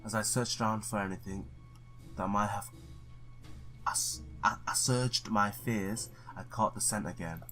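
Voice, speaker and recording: A man with a fairly low voice plays a visual novel and reads its text aloud. He trips over the odd word and breaks in with his own excited reactions. Faint game music plays behind him. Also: unhurried. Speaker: gamer playing and reading a visual novel.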